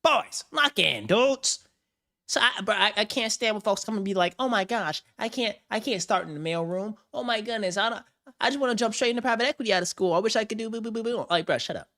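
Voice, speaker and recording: A man's voice: high voice, fairly quick, bright, forceful tone. A man hosting a livestream, reacting to a video with hyped, slang-heavy banter and frequent laughs. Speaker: streamer host. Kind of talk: casual livestream commentary.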